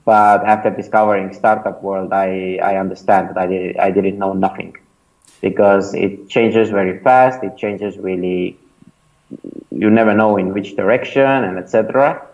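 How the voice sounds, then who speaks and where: Estonian man, hesitant, lowish voice. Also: fairly even intonation, moderate pace, darkish tone, lo-fi sound. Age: 30s. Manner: hesitant, many fillers and restarts. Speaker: startup founder and mentor, interview guest. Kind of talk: casual interview answers.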